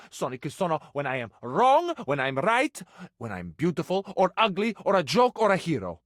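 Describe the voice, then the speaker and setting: A man's voice: fairly high pitch, no echo, clean studio sound. A man voicing a passionate, suave Italian cabinet for a video game, with florid, theatrical delivery and an Italian accent. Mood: impassioned, proud. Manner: florid, dramatic, lingering on words. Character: passionate, melodramatic, romantic Italian cabinet, male, suave dreamer.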